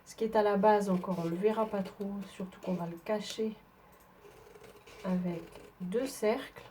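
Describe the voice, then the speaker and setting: A woman's voice: mid-range voice, moderate pace, warm tone. A woman from northern France talks through a craft tutorial while she works at her table. She has a head cold, so her voice sounds stuffy, and her easygoing, chatty delivery is full of small hesitations. A faint background noise runs under the recording. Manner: chatty, explains while working, frequent fillers. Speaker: papercraft demonstrator.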